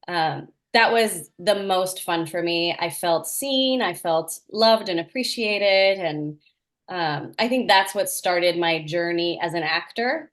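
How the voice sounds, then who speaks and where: A woman from Norway, an actress, answering interview questions in an earnest, enthusiastic way with small laughs. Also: lowish voice. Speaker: actress, guest.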